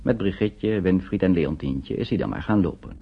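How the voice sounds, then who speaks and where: An actor narrating a radio play as a grave storyteller, in a measured, suspenseful, scripted style. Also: male voice, low voice, lively intonation, gravelly, husky, heavily acted. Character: grave storyteller recounting a wartime flight. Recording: dull old radio recording.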